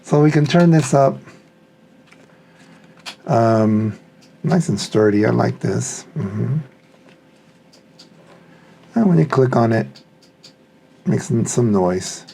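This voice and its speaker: A man's voice: medium pitch, animated, slow pace, gravelly, long pauses, mellow, husky. A man thinking aloud in a casual, unscripted, tentative way as he reviews a product. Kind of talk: casual unscripted unboxing commentary.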